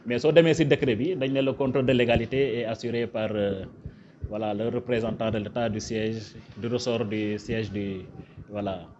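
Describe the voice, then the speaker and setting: Senegalese official, dull lo-fi sound. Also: male voice, on the low side, steady tone, moderate pace, a little mellow, a little hiss or hum. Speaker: government official, prefect. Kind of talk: formal official ceremony speech. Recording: dull, lo-fi event recording.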